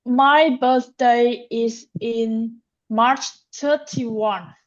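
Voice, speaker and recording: A woman's voice: fairly high pitch, fairly even intonation, drawn-out words, muffled recording. A nineteen-year-old woman giving short, careful answers as an English learner.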